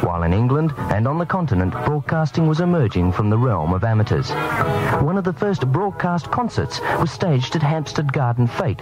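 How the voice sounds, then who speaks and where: A man reading a scripted historical narration about early radio, in a measured storytelling manner. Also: mid-range voice, very animated, dull audio, music in the background. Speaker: documentary narrator. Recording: noisy old radio broadcast.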